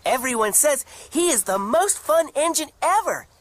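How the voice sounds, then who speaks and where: A woman voicing a chatty female engine from a cartoon, breathy. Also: high-pitched, very animated, normal speed.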